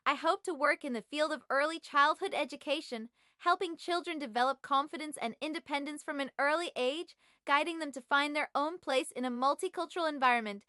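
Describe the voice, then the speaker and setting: A young woman from China, whose first language is Chinese, reads a prepared class presentation at a measured, even pace. Her voice sits high and sounds bright and clear. The sound is dry and close. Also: dry recording. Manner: measured, evenly read. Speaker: graduate student. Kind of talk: scripted presentation.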